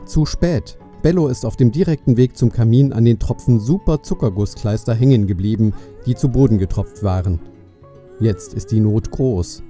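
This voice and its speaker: A man's voice: mid-range voice, moderate pace, dark tone, faint music behind. A man narrating a scripted children's story as the storyteller of an audio play.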